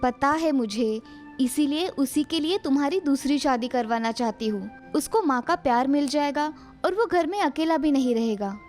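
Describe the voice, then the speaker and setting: A woman's voice: sits high, expressive, normal speed, darkish tone, soft background music. A woman playing a caring, practical mother and aunt in a cartoon story. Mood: caring, persuasive.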